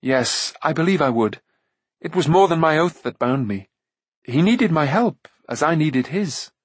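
Young humble storybook hero male narrator acting: earnest, self-doubting, impassioned, pitched up. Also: lively intonation, measured pace, husky, no room sound.

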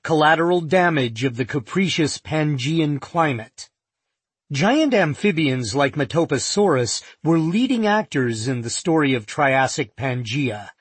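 Guy reading an audiobook, kinda high voice, husky, bone dry close mic. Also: lively intonation, dry recording. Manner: measured, vivid storytelling. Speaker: narrator. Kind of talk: audiobook nonfiction narration.

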